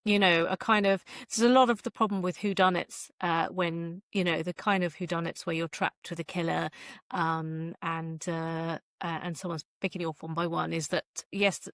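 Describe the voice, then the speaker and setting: A woman's voice: fairly low pitch, a bit bright, no echo, studio quality. A woman novelist chatting casually as an interview guest, hesitant and self-effacing, with a giggly manner.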